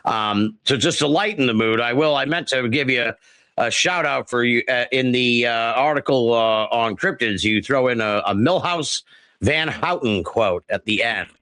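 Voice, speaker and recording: A man hosting a casual podcast interview, speaking haltingly with repeated words and an enthusiastic manner. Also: mid-range voice, sharp tone, no room sound.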